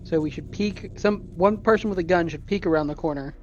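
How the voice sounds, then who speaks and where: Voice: medium pitch, brisk. A tabletop game player chatting casually and giving practical advice, in dull audio with some noise.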